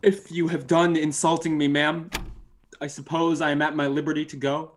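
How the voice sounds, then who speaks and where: An actor playing a proud, educated witness in an old time radio style drama, with formal and precise diction. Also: male voice, on the high side, flat delivery, normal speed, slight background noise, natural voice. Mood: affronted. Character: proud, indignant male newspaper witness, educated and testy.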